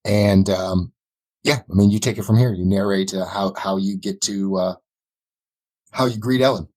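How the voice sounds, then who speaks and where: A man narrating a tabletop game as game master, halting between phrases. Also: sits low, steady tone, a little mellow, no echo.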